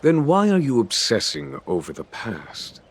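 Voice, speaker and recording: An actor playing a cryptic, solemn general in an anime-style game, questioning in a formal, probing manner. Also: male voice, medium pitch, huge pitch swings, unhurried, husky, exaggerated voice.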